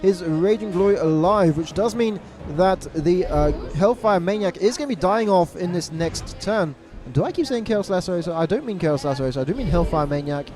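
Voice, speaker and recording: A man giving live play-by-play commentary on a card game match, stressing repeated words and correcting himself quickly. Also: high-pitched, expressive, music in the background. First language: English. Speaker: esports caster. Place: event venue abroad.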